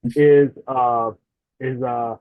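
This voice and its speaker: A man in his late forties with a mid-range voice chats as a podcast guest, friendly and quick to laugh. His voice comes through a tinny, phone-like remote line. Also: mid-range voice, fairly even intonation. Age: 40s. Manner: chatty and friendly, laughs easily. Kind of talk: casual interview chat.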